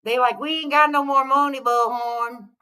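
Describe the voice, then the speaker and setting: A woman's voice: high voice, fairly even intonation, normal speed, sharp tone, clear, resonant tone. A woman giving blunt, mocking reaction commentary, scolding the person she is responding to.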